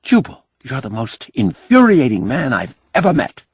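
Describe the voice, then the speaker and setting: A man's voice: mid-range voice, wildly swooping pitch, normal speed, vocal fry, breathy edge, no echo, pitched above the performer's own voice, heavily acted. A man voicing a spirited, outspoken young woman in an audiobook, earnest and challenging in manner. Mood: exasperated. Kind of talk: audiobook character dialogue.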